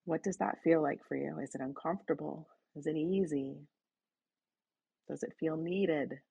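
A woman hosting an online session, guiding a slow breathing and reflection practice in a soft, inviting manner. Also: deep voice, vocal fry, breathy edge, dull audio. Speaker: host leading a guided breathing session. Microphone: online call.

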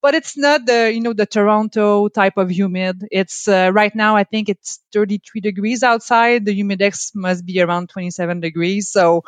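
A woman from Quebec with a Quebec French accent, a native French speaker talking as a park service guest, pausing often with fillers and restarts. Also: medium pitch, steady tone, no echo. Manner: hesitant, frequent fillers and restarts. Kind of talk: casual interview chat. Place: home, calling in remotely.

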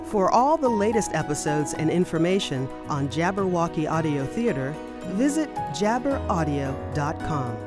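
Animated woman narrator over music. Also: deep voice, normal speed, few pauses. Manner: expressive, polished delivery. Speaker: presenter and reader. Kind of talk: scripted narration.

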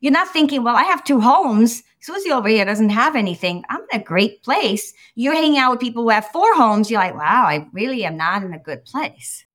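A woman from Romania, a therapist in her forties, speaking as a guest on a podcast in an animated, emphatic way, often checking in with a quick "right?". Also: on the high side. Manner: animated, emphatic, tosses in "right?" and quoted asides. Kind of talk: podcast interview.

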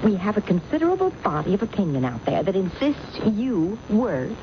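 Actress as a knowing, wildly expressive pushy woman. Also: mid-range voice, brisk, lightly acted. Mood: teasing, insistent. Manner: knowing, insistent. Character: worldly, teasing woman, brazenly pushy image-maker. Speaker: radio drama actor. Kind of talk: 1970s radio drama acting. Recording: muffled, noisy old radio broadcast recording.

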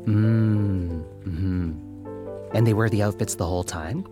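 An actor playing a polite, patient young man who works in phone customer service, tactful and a little halting, in a comedic audio drama. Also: lowish voice, over music. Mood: tactful, awkward. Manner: professionally courteous, tactful, halting on awkward questions.

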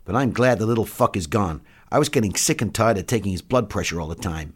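A man voicing a blunt, matter-of-fact enlisted soldier in an audiobook, respectful in form and flatly insistent. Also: on the low side, fast, husky. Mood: mournful, frank. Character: blunt, matter-of-fact enlisted army men addressing their superior.

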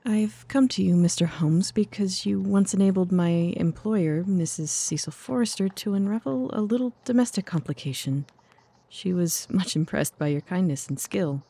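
A woman's voice: on the low side, normal speed, vocal fry, dark tone, dry recording. A woman playing a composed but anxious young Victorian lady in an audio drama, speaking formally and earnestly.

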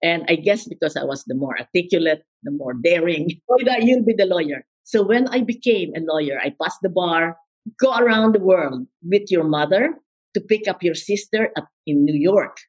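A woman's voice: lowish voice, animated, moderate pace, darkish tone, husky, poor audio. An older woman with a Filipino accent, telling her life story as an interview guest in an emphatic, repeating way. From Philippines. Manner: emphatic, repeats words, quotes others.

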